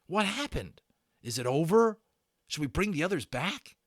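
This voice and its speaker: A man acts out a frantic young boy in a storybook, high-pitched and babbling. His words tumble out urgently and pleadingly, swinging up and down. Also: very animated, normal speed, dry recording, clean studio sound. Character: frantic young British schoolboy, excitable and pleading.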